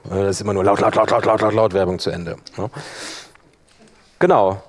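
A man presenting a live workshop, explaining software in a colloquial, hands-on way. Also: lowish voice, steady tone, brisk. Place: live workshop in front of attendees.

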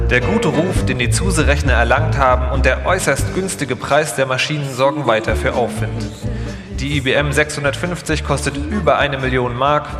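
A male narrator reads a scripted documentary live on stage. His voice sits at a medium pitch and carries long reverb from a large hall, with music in the recording.

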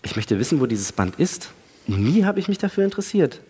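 A man's voice: a little high, sing-song, mellow, slight background noise. An actor playing a sardonic, suspicious male astronaut who needles and provokes in a sci-fi radio play. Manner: sardonic, provoking, measured stage delivery. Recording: long hall reverb.

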